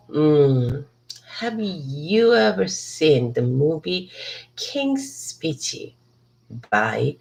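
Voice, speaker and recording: Slow, gravelly, low-voiced woman. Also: a little mellow, low-quality recording. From Hong Kong. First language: Cantonese. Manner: halting, restarts and repeats words to correct herself. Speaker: ESL student giving a speech. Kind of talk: rehearsed motivational speech. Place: outdoors in a city park.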